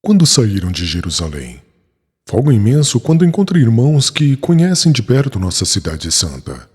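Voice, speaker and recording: A man playing a humble, kindly young former rabbi in an audiobook, husky and breathy, asking questions solicitously. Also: mid-range voice, wildly swooping pitch, moderate pace, darkish tone. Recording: reverberant hall-like echo.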